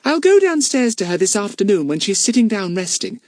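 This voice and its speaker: A man's voice: high voice, big pitch swings, fairly quick, no echo, studio quality, pitched above the performer's own voice. A man voicing an earnest, indignant young schoolgirl.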